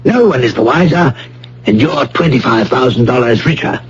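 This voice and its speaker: An actor playing a suave, condescending, menacing art thief and schemer in a radio drama. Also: male voice, mid-range voice, expressive, normal speed, slightly rough. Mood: coaxing, scheming. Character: smooth, menacing self-confessed male art thief and schemer. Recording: dull old radio broadcast with hum.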